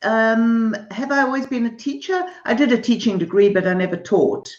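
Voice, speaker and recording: A woman's voice: a little high, normal speed. Woman, South African accent, interview guest, hesitant, roomy, muffled video-call sound. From Zimbabwe and South Africa. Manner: relaxed, thoughtful, hesitant with ums. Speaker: artist and author, interview guest.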